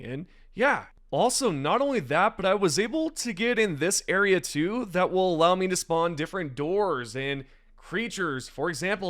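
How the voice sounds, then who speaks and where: A young man hosting a gaming news video, chatty and enthusiastic, his voice slightly raspy today. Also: a little high, fairly bright tone. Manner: enthusiastic, chatty, addresses viewers directly. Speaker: gaming channel host. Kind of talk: casual gaming news commentary over gameplay.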